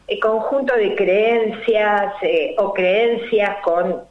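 Slow, deliberate older woman, Rioplatense accent. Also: mid-range voice. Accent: Rioplatense Spanish. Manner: slow and deliberate, many fillers and rhetorical questions. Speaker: numerologist guest. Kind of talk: explanatory interview talk. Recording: roomy, dull lo-fi recording.

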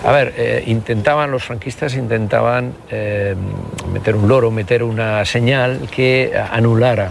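Gravelly older man. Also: medium pitch, steady tone, measured pace, noisy background. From Basque Country, Spain. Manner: reflective, many hesitations and restarts. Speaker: politician guest. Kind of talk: conversational interview.

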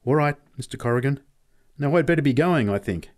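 A man's voice: mid-range voice, expressive, fairly quick, voice pushed up, natural voice. A man acts out a young country boy in a storybook tale. He sounds innocent and earnest, with a polite, puzzled way of talking. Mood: polite, meek. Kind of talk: acted storybook dialogue.

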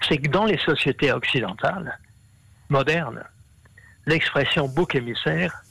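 Man talking slow and halting, lots of ums, gravelly voice, dry studio sound. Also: on the high side, bright, forceful tone, dry recording, poor audio. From half Brazilian. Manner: hesitant, many fillers and restarts. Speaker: interview guest, scholar. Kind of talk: explanatory interview talk.

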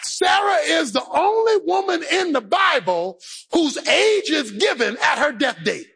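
A man's voice: very high voice, very animated, unhurried, gravelly, bright, forceful tone, raised voice. A man preaching a sermon, calling out and half-singing his phrases, acting out the voices of the people he preaches about. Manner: preaching in a rising, half-sung chant; acts out the believer's inner voice. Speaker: pastor. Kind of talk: shouted sermon.

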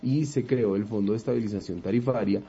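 Dark, husky Bogotá man explaining. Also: on the low side, muffled recording. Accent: Bogotá Spanish. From Bogotá, Colombia. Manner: measured, explanatory, many fillers. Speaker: political commentator. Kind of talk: radio panel discussion.

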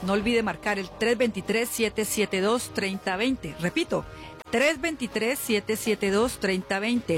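A woman hosting a radio call-in as a spiritual healer, speaking to callers in a familiar, consoling way. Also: mid-range voice. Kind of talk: live call-in psychic consultation and promotion. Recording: music bed behind voice.

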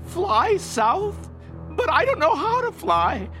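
A timid baby boy swan voiced by a woman pipes in an extremely high, whiny voice. The delivery is slow and plaintive with swooping pitch, in a storybook drama with music behind. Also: sing-song, heavily acted. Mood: anxious whining.

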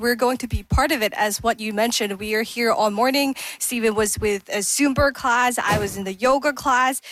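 A woman's voice: on the high side, fairly bright tone, no room sound, low-quality recording. Woman, Cantonese native from Hong Kong, news reporter, upbeat, dry close sound. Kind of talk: radio interview.

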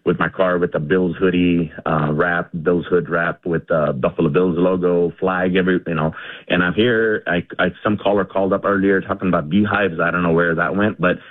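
Male caller from India, low voice, excitable and rambling, tinny phone line. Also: moderate pace. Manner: rambling, repeats himself, excited. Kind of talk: call-in radio chat. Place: home garage.

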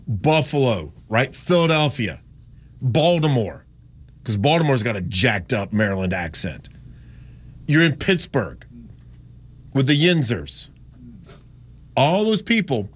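Radio host guy from north carolina, rambly and chatty, keeps correcting himself, studio mic. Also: mid-range voice. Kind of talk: casual on-air talk.

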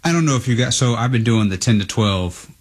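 A man's voice: medium pitch, expressive, a little fast, slightly rough. A man co-hosting sports radio in a laid-back, wry way. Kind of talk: casual sports talk.

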